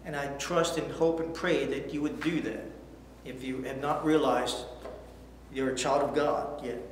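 A man's voice: medium pitch, fairly even intonation, unhurried. A middle-aged man teaching a Bible lesson to children, reading scripture aloud and asking questions in an earnest way. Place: church studio set with backdrop, indoors. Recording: echoey hall, faint hiss.

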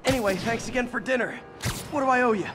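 Joking young web-slinging hero, high voice, noisy game sound behind. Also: male voice, fast. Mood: casual. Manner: joking, teasing banter. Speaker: young superhero.

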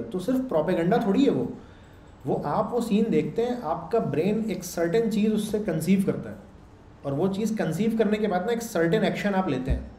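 A man's voice: high-pitched, warm tone. A man teaching a class in a casual lecture style, checking in with his listeners as he explains. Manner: conversational, explains with checks like "samjh rahe ho" and "theek hai". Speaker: programming instructor. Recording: echoey room with faint background hum.

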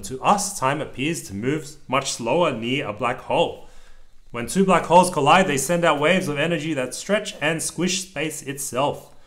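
Male parent, medium pitch, storybook read-aloud for a young child with simple asides. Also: fairly bright tone. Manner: simple explanations and pointing out pictures for a small child. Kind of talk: read-aloud of a children's picture book, with short explanatory asides. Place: indoors at a table over the open book.

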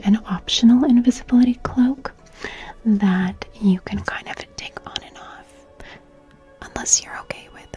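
A young woman whispering softly and breathily in an ASMR personal-attention roleplay as an art teacher, soothing and gentle, close to the microphone. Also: mid-range voice, husky. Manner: soft, soothing, gentle, repeating phrases. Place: small bright plant-filled room indoors. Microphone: close studio microphone with fluffy cover.

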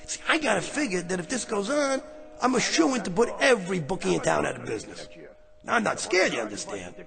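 An actor playing a brash, fast-talking man who claims to be going back in time, with a pushy, street-smart manner in a radio drama. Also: high-pitched, sing-song, gravelly, heavily acted. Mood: scheming. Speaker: lead character. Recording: radio play with music.

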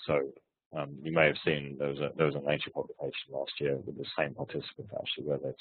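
A man with a hoarse voice from hay fever, presenting research and answering questions on a webinar, with many hesitant restarts. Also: extremely low pitch, little pitch movement, fast, dry recording. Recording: tinny phone-like webinar audio.

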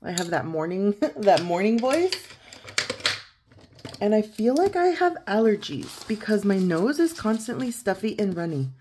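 Croaky-voiced woman in her thirties counting cash. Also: medium pitch, sing-song, measured pace, noisy background. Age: 30s. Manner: chatty, counts out loud, laughs easily. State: croaky morning voice. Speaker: savings challenge vlogger. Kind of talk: casual chat while counting cash. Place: home desk, indoors.

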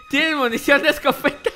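A man's voice: very high voice, sharp tone. A man hosting a gameplay roleplay video, commentating playfully and exclaiming with words repeated in bursts. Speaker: host of a gaming video channel. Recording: music behind the voice. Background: music.

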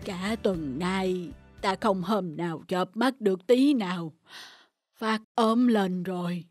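A woman voicing a greedy, anxious middle-aged rich man in an animated folk tale, grumbling and fretful. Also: medium pitch, slow, no echo. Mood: weary. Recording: music under the voice.

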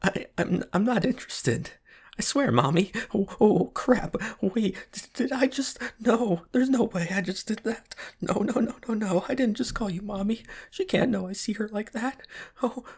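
A man playing a shy, flustered, submissive young pop star boyfriend in a roleplay audio, with soft breathy, stammering, hesitant speech. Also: high voice, animated, moderate pace, heavily acted. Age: young adult. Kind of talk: roleplay ASMR audio acting.

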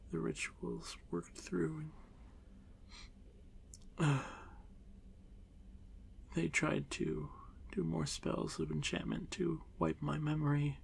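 Man playing a shy, creaky-voiced monster boy. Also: fairly high pitch, a little hiss or hum, exaggerated voice. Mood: anguished. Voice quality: soft, breathy, creaky. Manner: halting, stammering, often dropping into whispers. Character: shy, nervous male drider monster boy, haunted and grieving. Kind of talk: ASMR fantasy audio roleplay.

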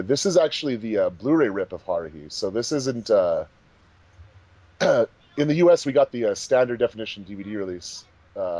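A man's voice: lowish voice, warm tone, no room sound. A man casually explaining things with enthusiasm on a commentary track, as one of its makers. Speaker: fandub producer and voice actor.

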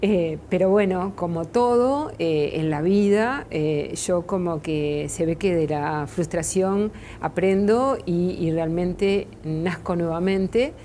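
A middle-aged woman with a Uruguayan accent, a makeup artist answering questions in a TV studio interview, often pausing with fillers and restarts. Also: fairly low pitch, warm tone, a little hiss or hum. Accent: Uruguayan Spanish. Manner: hesitant, many fillers and restarts. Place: TV studio set, seated in armchair.

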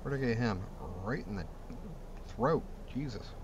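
A man's voice: medium pitch, lively intonation, a little slow, dull audio, background noise. A man giving casual, blunt and sweary commentary while he plays and reviews a video game. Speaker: gamer reviewing a game mod.

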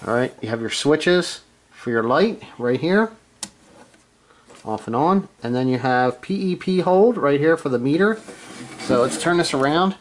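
Slow-paced man demonstrating gear. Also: fairly high pitch, lively intonation. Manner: halting, unscripted, thinking aloud. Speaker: amateur radio hobbyist, presenter. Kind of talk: casual hands-on gear demonstration. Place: small room at home, indoors.

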